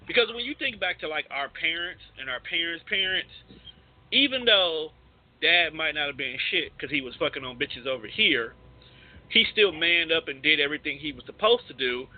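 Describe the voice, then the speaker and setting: A man co-hosts a casual radio talk through a narrow, tinny phone-like connection. His voice sits a little high for a man. He talks bluntly, with plenty of slang and swearing. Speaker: radio show co-host. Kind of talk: casual panel discussion. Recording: tinny, band-limited phone-line sound.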